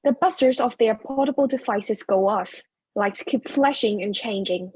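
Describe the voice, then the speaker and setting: A woman's voice: on the high side, moderate pace, darkish tone, breathy edge, dry recording, low-quality recording. A woman narrating scripted stage directions as the narrator of a play reading.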